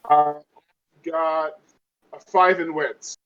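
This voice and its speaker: A man's voice: a little high, flat delivery, very slow, smooth, lo-fi sound, soft background music. A man playing a tabletop roleplaying game, chatting casually and thinking aloud in a hesitant way.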